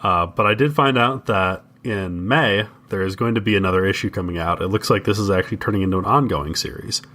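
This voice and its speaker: Bright-voiced man with a low pitch. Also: fairly quick. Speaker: podcast co-host. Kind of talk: casual comics discussion.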